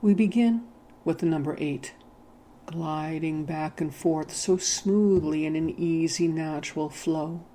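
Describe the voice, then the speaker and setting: Slow, mellow, soothing meditation voice. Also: medium pitch, a little hiss or hum. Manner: soothing, measured, gently instructing. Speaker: guided meditation narrator. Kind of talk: hypnotic guided meditation narration.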